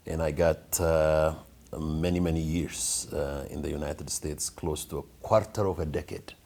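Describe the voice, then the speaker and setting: A middle-aged man from Ethiopia, a pastor and school founder, giving earnest, measured answers with frequent fillers in an interview. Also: a bass voice, steady tone, a little mellow.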